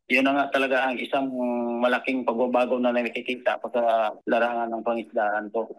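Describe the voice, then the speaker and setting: A man with a Filipino accent answering interview questions as a guest, in a halting, plain-spoken, polite way. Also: medium pitch, completely flat delivery, fairly bright tone, rough recording. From Philippines. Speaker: commercial fisherman, interview guest.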